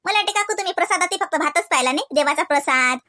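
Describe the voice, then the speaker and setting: A woman's voice: very high-pitched, animated, rapid-fire, sharp tone, heavily acted. A woman voicing a teasing, preachy young woman. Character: sharp-tongued young woman lecturing an aunt.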